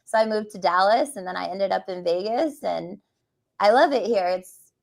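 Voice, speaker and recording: A woman talks casually as a guest, chatty and quick to laugh. Her pitch sits in the middle for a woman. She is a riding coach speaking at a motorcycle dealership. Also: creaky. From West Texas. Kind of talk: casual interview chat.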